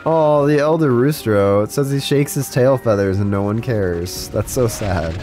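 A man's voice: medium pitch, a little slow, darkish tone, faint music behind. A young man chatting casually with his live chat while playing a game, stuffed up with a cold, rambling with frequent restarts. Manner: rambling, talks to chat, self-interrupting restarts. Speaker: streamer. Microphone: studio mic with compressor.

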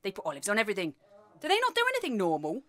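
An actress playing a prudish, sarcastic, nagging British wife in an audio play, with a snippy, sardonic delivery. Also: female voice, medium pitch, huge pitch swings, rapid-fire, a bit bright. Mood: disdainful. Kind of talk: audio play acting, domestic bickering.

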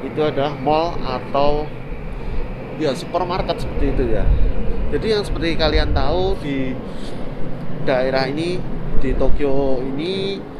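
A man's voice: on the high side, a little slow, long pauses, heavy background noise. A man in his thirties talking casually to viewers while walking, full of fillers and asides, recorded on a phone at arm's length outdoors. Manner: chatty, many fillers, keeps addressing viewers as friends. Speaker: vlogger. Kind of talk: casual walking tour vlog. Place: outdoors on a city street. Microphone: phone held at arm's length.

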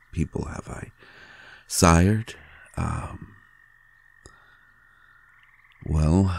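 An ancient male vampire, acted by a man, speaks tenderly to a lover in an intimate audio drama. The voice is extremely low, with gravelly fry and a dark, mellow tone. He is soft, halting and sincere, with a melodramatic streak. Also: full character voice. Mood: wistful, hesitant. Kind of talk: intimate roleplay audio acting.

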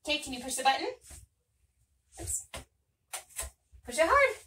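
Sing-song mom, slightly high voice. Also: female voice, big pitch swings, measured pace, slight background noise. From United States. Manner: gentle sing-song baby talk to a toddler. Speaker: vlogger and mother. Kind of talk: casual clean-with-me vlog narration. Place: small apartment bedroom indoors. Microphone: camera set up across the room.

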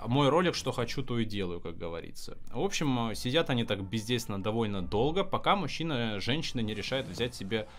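A man's voice: medium pitch, expressive, fairly quick, hardly any pauses, a bit bright. Sardonic man narrating, crude and comedic. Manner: sardonic, crude, swears freely. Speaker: video narrator. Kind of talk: comedic narration recapping creepy animations.

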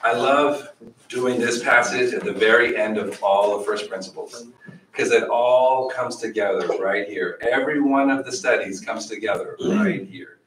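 Middle-aged man, church teacher, lively questioning manner, roomy echo, heard from a distance. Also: medium pitch, normal speed, slight background noise. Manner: animated, gestures widely, asks the audience questions. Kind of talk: Bible study teaching. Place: small church meeting room. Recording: echoey room, voice from far off.

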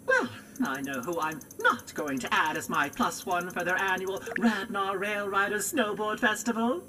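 High, theatrical, fussy game robot. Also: male voice, very animated, normal speed. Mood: wry, wistful. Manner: wry, theatrical. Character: chatty, fussy, excitable male robot companion. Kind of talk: animated video game acting. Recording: game audio with clear noise.

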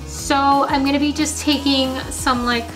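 A young woman chatting casually through a home vlog about her ceramics, full of "like" fillers, a little hoarse as she loses her voice. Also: sits high, level tone, unhurried, reverberant, over music, poor audio. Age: young adult. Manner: chatty, many "like" fillers, upbeat. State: losing her voice. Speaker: ceramics small business owner vlogging. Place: home studio office and dining room, indoors. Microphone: camera mounted on window, handheld at times.

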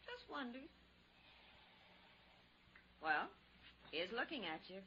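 An actress playing a sardonic, wisecracking magazine writer in a 1950s radio drama. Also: female voice, mid-range voice, sing-song, slow, sharp tone, a little hiss or hum, exaggerated voice. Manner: wisecracking, dry. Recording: dull old radio recording.

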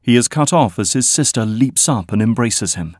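A man narrating an audio drama in a measured, scripted style. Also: mid-range voice, expressive, darkish tone, no echo, studio quality.

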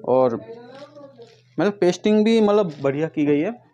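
A man casually pitching products to camera, often addressing viewers as friends and leaning on repeated verbal tics. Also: expressive, measured pace, mellow. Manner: repetitive filler phrases, addresses viewers as friends. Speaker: vlogger and shoe seller. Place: tiled room at home with stock boxes, indoors. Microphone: clip-on lapel mic. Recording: noticeable background noise, clicks.

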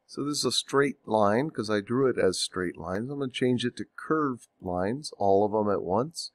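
Male tutorial narrator, slightly stuffy from a cold; low-pitched, dry close mic, relaxed and hesitant. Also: no room sound. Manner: unhurried, thinking aloud with ums and self-corrections. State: getting over a cold. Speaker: software tutorial instructor. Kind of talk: screen-recorded software tutorial.

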